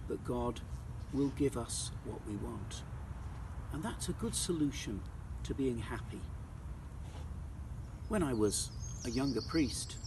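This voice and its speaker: Priest guy, middle-aged, medium pitch, explains things simply like for kids, quite a bit of noise behind him. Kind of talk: children's Bible reading and simple reflection.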